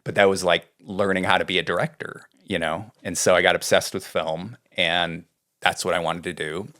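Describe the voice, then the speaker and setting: A middle-aged man from California, a writing coach being interviewed, talking in a relaxed, rambling way. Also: low voice, moderate pace. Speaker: guest, script doctor and writing coach. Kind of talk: casual interview talk.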